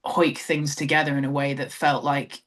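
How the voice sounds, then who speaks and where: A woman's voice: sits low, flat delivery, moderate pace, a bit bright. A middle-aged British woman speaking reflectively as an interview guest, thoughtful and hesitant, often trailing off and rephrasing. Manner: thoughtful, hesitant, trailing phrases with frequent hedging. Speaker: theatre director, interview guest.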